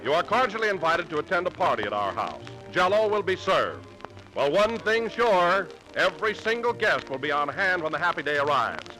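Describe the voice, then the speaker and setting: A man's voice: a little high, sing-song, moderate pace, bright, forceful tone, faint music behind, poor audio. A man reading a scripted 1940s radio advertisement as a polished, enthusiastic announcer. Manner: polished, enthusiastic sales delivery. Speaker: commercial announcer.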